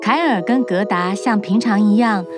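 A woman narrating a cartoon fairy tale in an even, clear storytelling voice. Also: mid-range voice, normal speed. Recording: narration over music.

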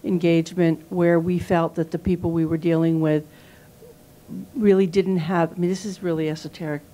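Mellow woman explaining from the audience. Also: on the low side, level tone, moderate pace, low-quality recording. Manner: explanatory, slightly hesitant. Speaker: audience questioner.

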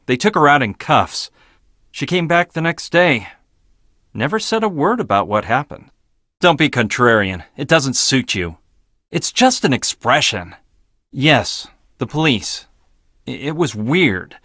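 Arrogant scientist, swooping intonation. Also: male voice, medium pitch, a bit bright, dry recording, clean studio sound. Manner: clipped, dismissive, pedantic. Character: arrogant, blunt, logical male research scientist. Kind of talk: video game voice acting.

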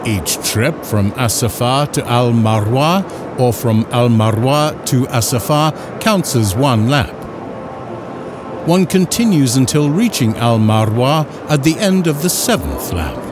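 A man reading scripted instructional narration in a measured, formal, carefully enunciated way. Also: medium pitch, sing-song, over music. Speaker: narrator of an educational film.

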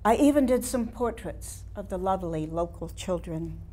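A woman in her sixties with an American accent performing a staged monologue as a wistful, reflective older painter looking back in measured, nostalgic phrases. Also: mid-range voice, expressive, dark tone, natural voice. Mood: fond reminiscing. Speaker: playwright performing a staged reading. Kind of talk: theatrical monologue reading. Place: small studio room with seated audience.

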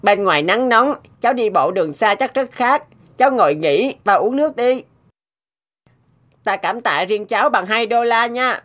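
A woman's voice: a little high, animated, normal speed, a bit bright. A woman voicing an earnest, outspoken young boy in a cartoon, polite but reproachful. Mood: earnest, instructive. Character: earnest, outspoken nine-year-old boy. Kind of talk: animated moral tale dubbing.

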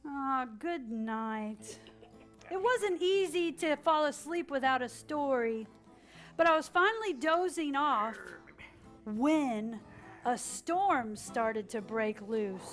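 A woman storyteller reading aloud to young children in a dramatic, animated way, prompting them to join in. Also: high-pitched, takes it slowly, faint music behind. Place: children's library story room with an audience, indoors.

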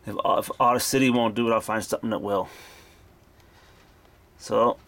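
A man's voice: fairly low pitch, steady tone, normal speed, fairly bright tone. A man casually narrating a hands-on demo in an offhand, matter-of-fact way, recorded indoors on a handheld camera. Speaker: hobbyist vlogger. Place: dim indoor room at home. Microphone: camera mic, held by speaker. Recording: clearly noisy handheld camera audio.

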